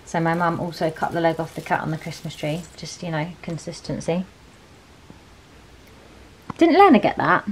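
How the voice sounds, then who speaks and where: A woman's voice: low voice, lively intonation, normal speed, pauses often. Chatty young British woman, noisy vlog recording. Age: young adult. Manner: chatty, informal. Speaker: vlogger. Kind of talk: casual vlog chat. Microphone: handheld camera at arm's length. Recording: noisy handheld vlog, room and car sounds.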